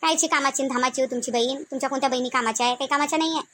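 A woman plays a middle-aged cartoon village wife with a sharp tongue and a Varhadi accent from Vidarbha. The voice is extremely high and the words come quickly. She nags and grumbles, piling up scornful rhetorical questions. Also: steady tone, slight background noise. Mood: grumbling. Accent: Varhadi Marathi of Vidarbha. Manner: complaining, scolding, rhetorical questions. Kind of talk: comic cartoon dialogue.

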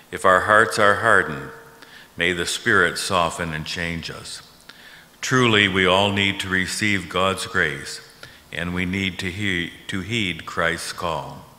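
Older male pastor, solemn and unhurried, bright forceful tone, reverberant sanctuary. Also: deep voice. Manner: solemn, measured. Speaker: pastor leading worship. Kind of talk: liturgical reading and prayer. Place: large church sanctuary, at a pulpit. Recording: echoey church hall, long reverb.

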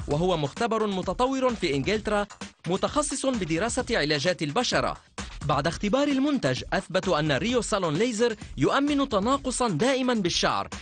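Male ad announcer, rapid upbeat pitch, light music behind. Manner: fast, lively sales pitch. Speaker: advertisement voice-over. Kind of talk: dubbed infomercial narration.

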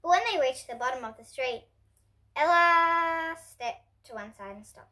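A girl of about ten to twelve reading a picture book aloud to young children in an expressive, presentational way. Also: high-pitched, a little slow. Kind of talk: storybook read-aloud for children. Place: indoors at home, in front of bookshelves.

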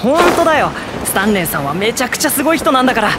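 An earnest, admiring young male adventurer from an anime game. The voice is fairly high and very lively in pitch, eager to please, over clear background music. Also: rapid-fire. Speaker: game character: novice adventurer. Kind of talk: Japanese anime game voice acting.

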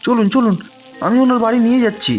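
High-pitched, eager village milkman. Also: quick pace, faint music behind. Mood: helpful. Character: helpful, excitable village milkman. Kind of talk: Bengali cartoon voice acting.

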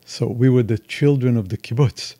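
A man's voice: medium pitch, normal speed, mellow, no echo. A man in his sixties from Israel, telling stories candidly and at length as an interview guest. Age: 60s. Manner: expansive, candid storyteller. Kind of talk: casual interview chat.

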